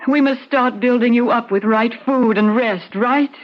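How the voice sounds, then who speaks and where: A woman's voice: on the high side, unhurried, lightly acted. An actress playing an anxious, devoted woman in a radio drama, coaxing and solicitous. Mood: anxious relief. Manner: solicitous, coaxing, seeking reassurance. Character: anxious, devoted, fussing woman caring for a sick man. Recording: muffled old radio drama recording.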